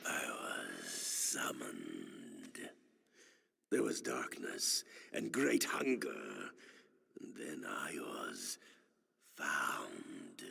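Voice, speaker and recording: An actor playing a deranged, hungry, wrathful undead vampire god for a video game, grandiose and erratic, with a gravelly, guttural voice. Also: male voice, rumbling low, wildly swooping pitch, extremely slow, sharp tone, heavily acted. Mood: tormented. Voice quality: gravelly, guttural, breaking into whispers and shrieks. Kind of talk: dramatic video game acting. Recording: long cavernous reverb.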